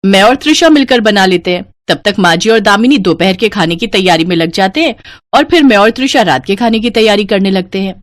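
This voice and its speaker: A woman's voice: mid-range voice, lively intonation, a little fast, no room sound, natural voice. A woman voicing a chatty, bossy elder sister-in-law in a cartoon family story, with a breezy, familiar manner. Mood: matter-of-fact. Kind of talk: Hindi cartoon story dialogue.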